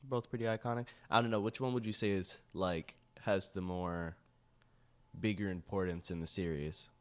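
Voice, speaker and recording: A man's voice: low-pitched, fairly even intonation, normal speed. A man co-hosting a casual chat in a laid-back way, with brief, agreeing remarks. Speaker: podcast co-host.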